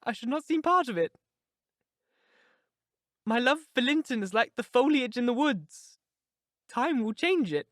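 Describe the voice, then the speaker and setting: A reader voicing a headstrong young woman, pitched well above the narration and pushing hard on her words. She is impetuous and declamatory, caught up in passion. The recording is dry and close, with no room around it. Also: sing-song, unhurried, long pauses, no echo. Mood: impassioned. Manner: intense, emphatic, declamatory. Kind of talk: audiobook character reading.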